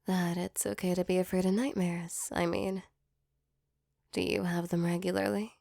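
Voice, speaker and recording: Casting: woman as affectionate sleep demon, creaky soft voice, close and dry, reassuring ASMR roleplay delivery. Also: on the low side, flat delivery, moderate pace, dry recording. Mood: sympathetic, caring. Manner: soothing, tender, reassuring. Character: gentle female sleep paralysis demon, affectionate and protective. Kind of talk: ASMR comfort roleplay, soft intimate acting.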